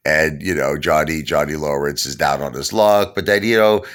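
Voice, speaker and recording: A man's voice: extremely low pitch, normal speed. A middle-aged man from Brooklyn with a New York accent, chatting casually as host and rambling on with lots of fillers. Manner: rambling, animated storyteller with many fillers. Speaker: channel host. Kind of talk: casual chat.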